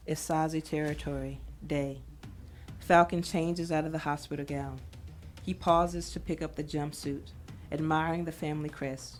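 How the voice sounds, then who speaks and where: Woman narrator reading stage directions for an audio drama, pretty low voice, scripted. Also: a little mellow.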